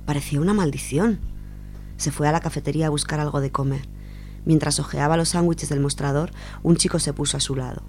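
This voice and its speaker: A woman's voice: low voice, fairly even intonation, brisk, a little mellow, a little hiss or hum. A woman with an accent from Spain reading a literary short story aloud as a radio storyteller. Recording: music behind the voice.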